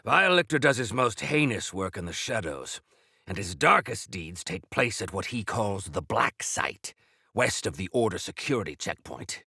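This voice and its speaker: A stalwart older clan leader from a video game, proud and formal in an old-fashioned way. The voice is gravelly and forceful, swinging dramatically across its range. The delivery is theatrical, as befits a chief of mountain folk. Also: male voice, fairly low pitch, very animated, normal speed, dry recording, studio quality, exaggerated voice. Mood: grave, urgent briefing. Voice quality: gravelly, rough-edged. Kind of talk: video game character acting.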